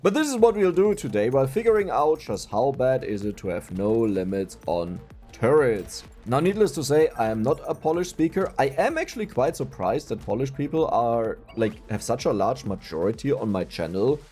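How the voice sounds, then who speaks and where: Chatty German man with lively pitch. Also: moderate pace, few pauses, faint music behind. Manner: chatty, self-mocking, laughs often. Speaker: gaming YouTuber. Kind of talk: casual gameplay commentary.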